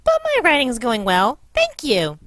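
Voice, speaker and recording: A woman's voice: high-pitched, wildly swooping pitch, unhurried, fairly bright tone, dry recording, full character voice. A woman voicing a petite, touchy teenage girl in a cartoon parody, emphatic and indignant. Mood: indignant, prim. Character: petite, touchy teenage girl who resents seeming childish. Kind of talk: scripted cartoon parody dub.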